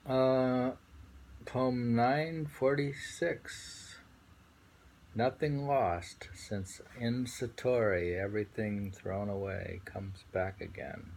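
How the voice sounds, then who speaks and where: A man reading poetry aloud and commenting on it informally, in a contemplative way, pausing to muse between phrases. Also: medium pitch, vocal fry, a little mellow, low-quality recording. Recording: amateur recording with faint background noise.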